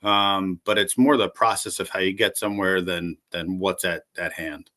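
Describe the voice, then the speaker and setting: A man running for city council, answering interview questions calmly and conversationally, with lots of fillers. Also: low voice, moderate pace. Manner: calm, conversational, many fillers.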